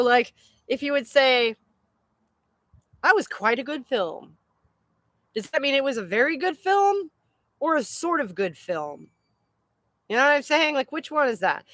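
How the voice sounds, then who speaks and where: Female streamer, higher-pitched, casual and exclamatory, swears freely, very dry room sound. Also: expressive, moderate pace, pauses often, fairly bright tone, no echo. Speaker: host of a live chat stream. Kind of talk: casual rambling chat.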